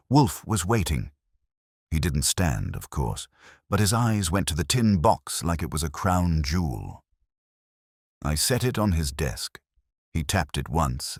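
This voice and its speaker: A man's voice: low voice, no echo, clean studio sound, heavily acted. A man voicing a wisecracking tough detective's assistant who narrates a hardboiled audiobook mystery.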